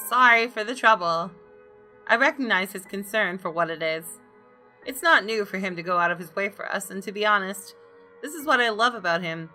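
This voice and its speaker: A woman's voice: fairly low pitch, sharp tone. A woman gamer reading visual novel narration aloud expressively, with her own asides. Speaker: gaming video host.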